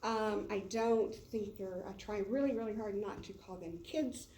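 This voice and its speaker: A woman's voice: mid-range voice, a little slow, warm tone. A woman teacher talking casually to colleagues in a meeting room, loose and chatty with many fillers. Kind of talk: casual professional development talk. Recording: roomy echo, heard from a distance.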